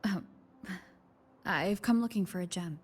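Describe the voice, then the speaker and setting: A woman voicing a calm, inquisitive young wanderer in a video game. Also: on the low side, unhurried, natural voice. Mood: inquiring. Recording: long reverberant echo on voice.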